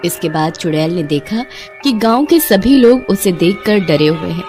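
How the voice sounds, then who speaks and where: A woman's voice: fairly low pitch, lively intonation, moderate pace. A woman storyteller giving scripted narration of a children's bedtime story. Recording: music behind the voice.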